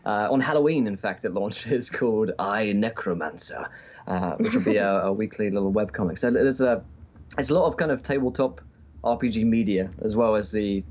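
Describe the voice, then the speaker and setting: A man chatting casually as a podcast guest and game streamer, with hesitant, halting phrasing, calling in remotely. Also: on the low side, a little mellow. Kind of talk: casual interview chat. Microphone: remote call-in. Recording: dull, lo-fi call audio.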